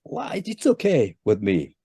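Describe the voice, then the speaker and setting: Japanese-accented male guest, lively pitch, mellow tone, dry close sound. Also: creaky, breathy edge, dry recording. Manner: halting, repeats words, pitch jumps up at each new point. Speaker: band leader, interview guest. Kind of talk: casual interview answers.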